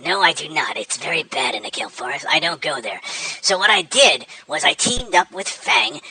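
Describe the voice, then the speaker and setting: A man's voice: fairly high pitch, sing-song, moderate pace, crowd noise, low-quality recording. A man voicing a nervous, self-important cartoon shark who hosts a show and rambles anxiously. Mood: fretful. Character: chatty nervous male cartoon shark host.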